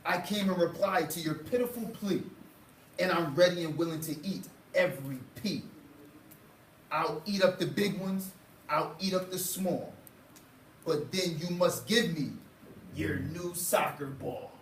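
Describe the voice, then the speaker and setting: A man's voice: a little high, unhurried. Man playing a demanding storybook monster, echoey room. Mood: boastful, bargaining. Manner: boastful, demanding, rhyming patter. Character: bargaining, gluttonous pea-eating storybook monster. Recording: roomy, distant mic, faint noise.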